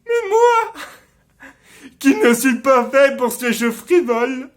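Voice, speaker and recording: A bitter, misshapen nobleman schemes in a Shakespearean stage monologue. His speech is extremely slow and deliberate, declaimed with theatrical weight and villainous intent. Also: high-pitched, a bit bright, full character voice. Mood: anguished, bitter rage. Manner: declamatory, deliberate, dramatic delivery.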